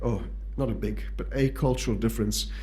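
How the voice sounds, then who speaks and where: A man from South Africa, whose first language is Portuguese, speaks with a South African accent as he gives a conference lecture on palliative care, measured and pausing often with fillers and restarts. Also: lowish voice, a little mellow, slight background noise.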